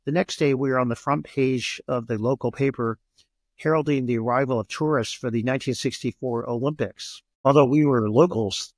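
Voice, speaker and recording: Older man, measured and pausing. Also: medium pitch, dry recording. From Japan. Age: 60s. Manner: measured, many "uh" pauses. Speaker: guest. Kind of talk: relaxed podcast interview.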